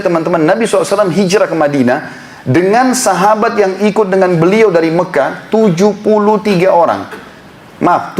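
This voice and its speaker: A man preaching an impassioned sermon to a congregation in a prayer hall, building to emphatic appeals. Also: fairly high pitch. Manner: impassioned, rising to emphatic exhortation. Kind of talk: Islamic sermon lecture.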